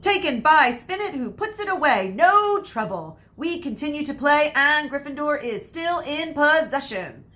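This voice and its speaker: A woman voicing an excitable, biased schoolboy commentator, emphatic and sardonic, in a read-aloud story. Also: high-pitched, measured pace, few pauses, voice pushed up, heavily acted. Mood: sardonic, excited.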